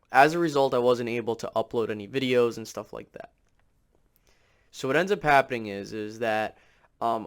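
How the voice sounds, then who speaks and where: A man hosting a casual sports commentary, sounding under the weather with a slightly raspy, nasal voice and rambling as he thinks aloud. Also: medium pitch. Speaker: sports channel host.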